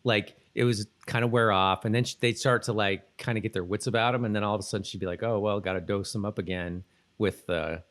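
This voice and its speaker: A man's voice: fairly low pitch, steady tone, fast, a bit bright. A man chatting as a podcast co-host, rambling and rephrasing mid-thought. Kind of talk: casual chat, TV episode discussion.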